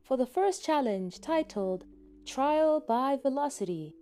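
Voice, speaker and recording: A woman voicing a brisk event announcer in a story, projecting loudly. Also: high voice, expressive, slight background noise, pitched above the performer's own voice. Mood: proclaiming.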